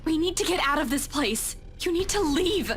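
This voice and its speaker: A man's voice: extremely high pitch, animated, normal speed, fairly bright tone, faint music behind, voice pushed up. A man voicing a frightened young woman urging everyone to leave, in an urgent, respectful way.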